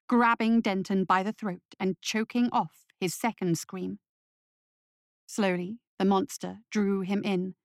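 A woman reading scripted horror fiction as story narrator in a measured, dramatic manner. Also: medium pitch, no echo, clean studio sound.

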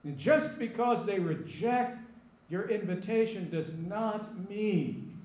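A man's voice: high voice, slow, darkish tone. A man preaching a sermon as pastor, emphatic and repetitive, asking the congregation rhetorical questions. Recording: echoey room with faint ambient noise.

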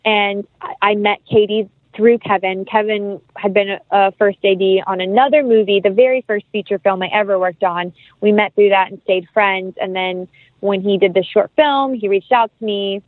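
A woman's voice: mid-range voice, fairly even intonation, normal speed, no echo. A woman giving a casual interview as an actress, chatting informally with plenty of "like" and "you know". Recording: phone-like narrow-band line, dry.

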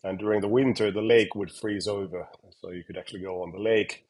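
A man from Finland with a Finnish accent tinged with American and British, talking in a relaxed interview with many fillers and restarts. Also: lowish voice, a little fast, breathy edge. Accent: Finnish, with American and British touches. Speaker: guest scientist. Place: home or office in Helsinki.

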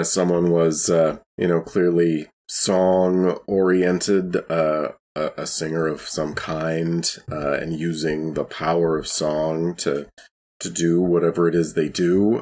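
A man playing a tabletop game, casually and tentatively describing what his character says, with halting phrasing. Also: sits low, darkish tone.

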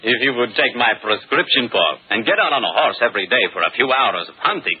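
An actor playing a hearty, no-nonsense outdoorsy gentleman in 1940s radio style, jovial and emphatic. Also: mid-range voice, animated, fairly quick, breathy edge. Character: hearty, no-nonsense outdoorsy male cousin of a count. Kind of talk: 1940s radio mystery acting. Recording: muffled, lo-fi 1940s radio recording.

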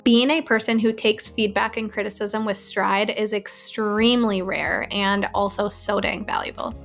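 A woman co-hosting a business podcast, giving quick tips in an upbeat, direct way. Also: mid-range voice, steady tone, gravelly, faint music behind. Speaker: podcast co-host. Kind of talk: rapid-fire podcast tips.

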